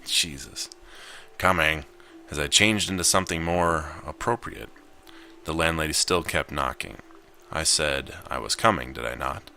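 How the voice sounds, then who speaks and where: Very deep-voiced man narrating a visual novel. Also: a little slow, sharp tone, faint music behind. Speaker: gamer narrating his gameplay. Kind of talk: visual novel read aloud with casual commentary.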